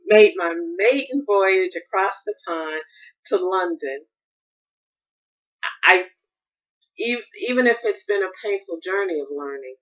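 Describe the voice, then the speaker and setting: A woman's voice: mid-range voice, expressive, a little slow, pauses often, a bit bright, lo-fi sound. An American woman hosting a solo podcast, thinking aloud in a rambling, reflective monologue with frequent restarts. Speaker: podcast host.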